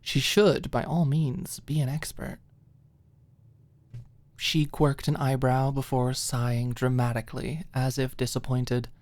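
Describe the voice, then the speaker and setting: A woman's voice: rumbling low, little pitch movement, measured pace, a little mellow. A woman reading scripted fiction expressively as an audiobook narrator in an ASMR style.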